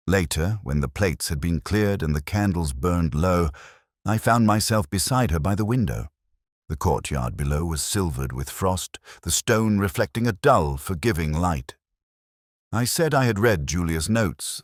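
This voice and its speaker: A man with an extremely low voice reads a reflective first-person detective tale. His delivery is measured and brooding, with lively movement in pitch. The recording is dry and close, with very little room sound. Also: no echo. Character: world-weary male police inspector recounting a case. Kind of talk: scripted first-person detective story narration.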